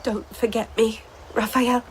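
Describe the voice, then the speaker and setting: An actress playing a wistful, daring woman in a radio drama, breathy and intimate. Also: fairly high pitch, little pitch movement, measured pace, a little hiss or hum, natural voice, low-quality recording. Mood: tender, wistful. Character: wistful, daring woman, secret writer and enslaved lover.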